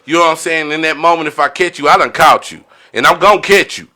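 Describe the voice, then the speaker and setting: A man's voice: a little high, quick pace, sharp tone. A man hosting a casual, unscripted chat, agreeing readily and repeating his phrases for emphasis. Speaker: podcast host.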